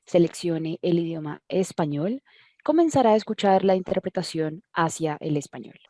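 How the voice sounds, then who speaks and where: A woman's voice: on the low side, expressive, normal speed, dark tone, no echo. A woman reading scripted step-by-step instructions for a tutorial. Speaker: tutorial narrator.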